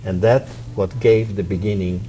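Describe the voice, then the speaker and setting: A middle-aged man from Poland with a Polish accent, a violin professor answering interview questions in a measured, reflective way with frequent hesitations. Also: lowish voice, fairly even intonation, mellow, slight background noise, poor audio. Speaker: violin professor and orchestra co-founder.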